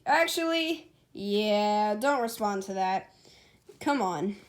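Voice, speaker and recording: A woman's voice: medium pitch, lively intonation, slow. Woman playing a cocky, flustered young hedgehog hero. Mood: offended. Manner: boastful, flustered, stammering.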